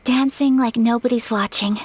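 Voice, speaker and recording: A woman playing a graceful, gentle and modest young noblewoman in an anime video game, speaking softly and wistfully. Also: on the high side, fairly even intonation, moderate pace, slight background noise, natural voice. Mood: tender, wistful.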